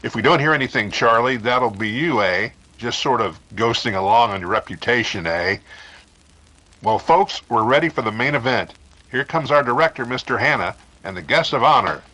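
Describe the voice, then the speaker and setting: A man acts as a showy old-time radio announcer. His mid-pitched voice is raspy yet bright, with grand, teasing phrasing. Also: animated, moderate pace, low-quality recording. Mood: jocular. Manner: orotund, teasing.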